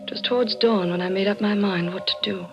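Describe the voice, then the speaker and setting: An actress playing a high-strung, determined widow with a dramatic, urgent delivery in a 1940s radio mystery. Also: female voice, fairly even intonation, quick pace, music in the background, natural voice. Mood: brooding narration. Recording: dull old radio recording.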